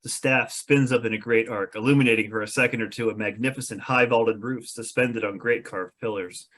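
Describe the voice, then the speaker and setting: A man with a medium-pitched voice serves as narrator. He reads out scene headings and stage directions from a fantasy screenplay in a table-read setting. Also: steady tone, fairly quick, fairly bright tone.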